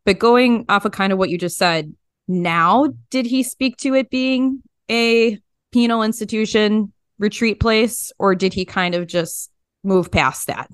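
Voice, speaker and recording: A woman's voice: medium pitch, measured pace, smooth, no echo, clean studio sound. A woman hosting a podcast interview, asking questions in a casual, informal, colloquial way. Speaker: podcast host.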